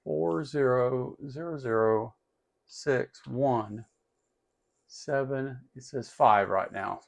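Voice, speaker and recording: A man's voice: medium pitch, slow pace, vocal fry. A man hosting an electronics tutorial in a casual, unscripted way, explaining step by step as he works and often repeating himself.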